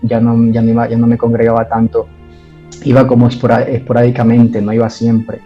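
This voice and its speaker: A man's voice: medium pitch, monotone, a little fast, mellow, lo-fi sound, soft background music. A man from Ecuador, giving his church testimony in an interview and talking hesitantly, with many fillers and restarts.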